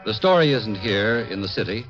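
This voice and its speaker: Old time radio drama narrator, grave but expressive, faint music behind, muffled. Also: male voice, lowish voice, normal speed.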